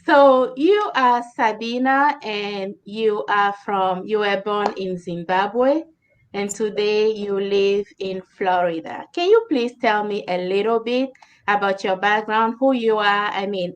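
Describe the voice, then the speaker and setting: A woman's voice: mid-range voice, measured pace. A woman hosting an online interview, introducing her guest and prompting friendly questions. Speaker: host.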